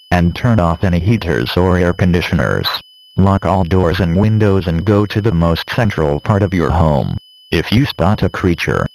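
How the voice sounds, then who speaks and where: A deep male voice delivers a scripted emergency alert. The announcer sounds formal, impersonal and synthetic, with faint noise and hum underneath. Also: vocal fry, a little mellow, poor audio.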